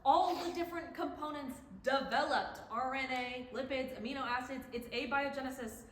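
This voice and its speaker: Girl acting skeptical science student, snarky, kinda high voice, echoey with some noise. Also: normal speed, a bit bright. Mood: argumentative. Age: young adult. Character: female college biology student, skeptical, stubborn, sardonic. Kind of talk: staged play reading. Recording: echoey hall, long reverb, background noise.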